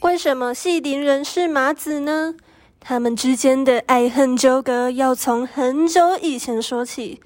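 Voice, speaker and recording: A woman's voice: sits high, unhurried, a little mellow, a little hiss or hum. A teenage female student narrating a scripted radio drama story.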